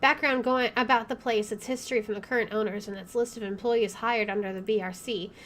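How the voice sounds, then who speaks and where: A woman's voice: fairly high pitch, steady tone, brisk, a bit bright, slight background noise. A woman doing playthrough commentary and reading game text aloud, with a playful, wisecracking manner. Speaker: video game let's player.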